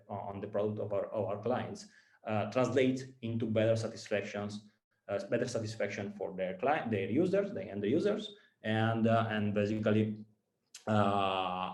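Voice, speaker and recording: A man from Italy with an Italian accent, a startup founder giving a talk to students, speaking haltingly with many fillers and repeated words. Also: on the low side, darkish tone, roomy sound.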